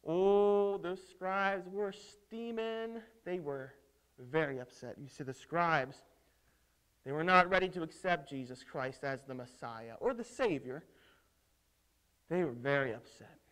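Male children's preacher, high voice, theatrical storytelling, punchy emphasis and dramatic pauses. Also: fairly bright tone, smooth, low-quality recording. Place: indoors at a lectern on a stage set.

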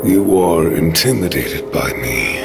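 An actor playing a huge, menacing villain in a 1950s radio drama, with a gravelly voice. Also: male voice, a bass voice, lively intonation, slow, music in the background, full character voice.

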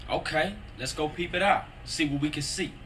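A man's voice: fairly high pitch, moderate pace, pushed and bright, a little hiss or hum, low-quality recording. A man playing a young, slangy, blunt American street hustler in a video game, tough but loyal. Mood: businesslike. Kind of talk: video game cutscene acting.

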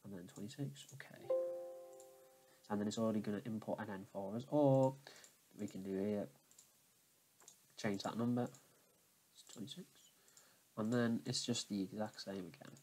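A man's voice: on the low side, fairly even intonation, moderate pace, pauses often, warm tone, faint music behind, low-quality recording. Man giving a casual tech walkthrough. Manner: informal, repetitive step-by-step explaining. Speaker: tutorial presenter. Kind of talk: casual screen-recorded how-to walkthrough.

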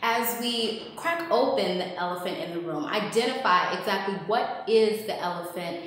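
A woman hosting her channel, welcoming viewers and introducing a discussion series in a lively, direct way from a living room. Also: mid-range voice, normal speed, slight background noise. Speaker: host. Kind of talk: upbeat show introduction. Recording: echoey room.

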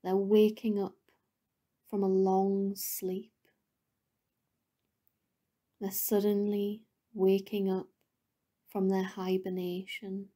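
Female meditation guide: mellow, gentle, breathy at phrase ends, level intonation, long unhurried pauses. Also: medium pitch, completely flat delivery.

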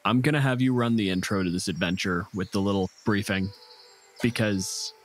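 A man's voice: lowish voice, normal speed. A man hosting a tabletop game as game master, in casual banter with the players. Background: music.

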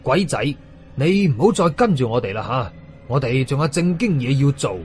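A man voicing a calm, blunt young ghost hunter in a horror story, casual, with a Hong Kong Cantonese accent. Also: mid-range voice, normal speed, a little hiss or hum, lightly acted. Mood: calm, amused.

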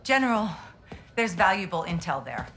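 A woman playing a determined, composed intelligence officer in a game cutscene, crisp and controlled. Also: mid-range voice, big pitch swings, normal speed, fairly bright tone, soft background music. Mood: firm, urgent. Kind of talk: dramatic game cutscene acting.

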